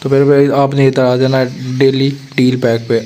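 Pakistani male gaming host, flat delivery, chatty voice-over walkthrough. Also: medium pitch, normal speed, slight background noise. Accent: Pakistani Urdu. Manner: chatty, repeats filler "matlab" often. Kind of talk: casual screen-recorded game tutorial. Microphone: voice-over on a screen recording.

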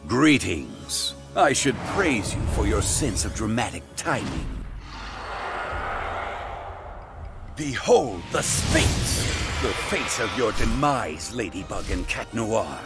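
An actor playing an obsessive, wealthy supervillain in an animated game, speaking in a formal, theatrical, deliberate way. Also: male voice, on the low side, gravelly, loud music behind the voice, full character voice. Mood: gloating. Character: obsessive, imperious, wealthy male supervillain with grand ambitions. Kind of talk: dramatic animated game cutscene acting.

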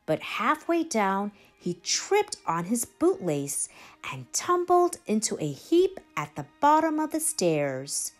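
A woman reading a children's storybook aloud in an expressive, careful way. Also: medium pitch, slow, faint music behind. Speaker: children's storybook reader, channel regular. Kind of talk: expressive read-aloud narration for young children.

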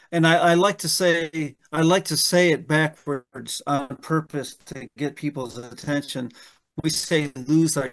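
A gravelly, slightly high-voiced man in his fifties teaches a Bible study over a webcam call from his home. He talks things through aloud, restarting his sentences and checking in with the group with a rhetorical "right?". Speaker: pastor leading a Bible study. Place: room at home. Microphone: webcam on a video call.

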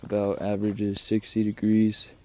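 Low-voiced young man vlogging outdoors. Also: completely flat delivery, moderate pace, mellow, a little hiss or hum. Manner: plain, matter-of-fact, listing gear. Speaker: fishing vlogger. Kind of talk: casual fishing vlog. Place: outdoors by a truck and a river at dusk. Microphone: wide-angle action camera held close.

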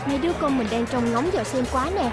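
High-voiced gentle cartoon mom played by a woman. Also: fast talker, over loud music, lightly acted. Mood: worried. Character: gentle, devoted wife and mother. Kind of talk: children's cartoon voice acting.

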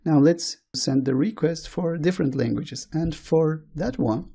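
A man with a Turkish accent, a native Turkish speaker, walking through code slowly, step by step, in a screencast tutorial. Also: a little high, gravelly, dark tone, slightly rough. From Turkey. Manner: slow, step-by-step walkthrough. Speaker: programming tutor.

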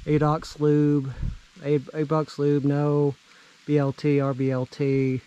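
A man's voice: on the high side, completely flat delivery, takes it slowly, dark tone, smooth, lo-fi sound. A man in his thirties narrating a casual exploration vlog outdoors on a handheld camera, talking in an awestruck, exclaiming way. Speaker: vlog host. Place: outdoors in woods around abandoned railway.

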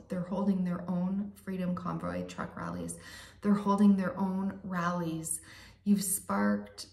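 A woman in her forties speaking straight to the camera from her bathroom at home, earnest and pleading, sometimes dropping to a soft whisper. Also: on the low side. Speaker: vlogger. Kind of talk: direct-to-camera appeal. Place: dim bathroom at home, indoors. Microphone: phone or webcam close to the face. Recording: echoey bathroom.

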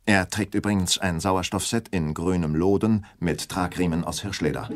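Actor as a low-pitched, ceremonious event reporter. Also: male voice, fairly even intonation, normal speed, natural voice. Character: male radio reporter announcing a grand ship launch. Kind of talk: radio drama live event commentary. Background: music under the voice.

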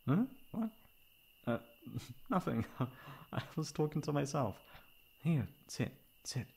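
A man playing a caring, protective young best friend in an intimate ASMR roleplay, with a soft, breathy voice. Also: a little high, big pitch swings, slow, heavily acted. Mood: affectionate, playful. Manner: intimate, comforting, casual. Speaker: voice actor.

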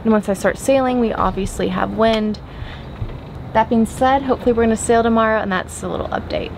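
A woman's voice: a little high, a little fast. Young woman, twenties, casual selfie vlog from a boat deck, chatty and rambling, wind noise heard behind her. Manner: chatty, thinking aloud with fillers. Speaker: sailing vlogger. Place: outdoors on a sailboat deck at anchor. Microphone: camera held at arm's length. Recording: noisy outdoor wind and water.

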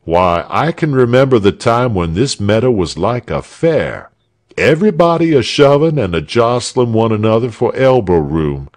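A man voicing a gruff, uneducated soldier in armor who grumbles in rough dialect. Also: medium pitch, big pitch swings, moderate pace, pitched above the performer's own voice, lightly acted. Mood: grumbling. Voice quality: rough dialect, dropped g's. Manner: grumbling, boastful.

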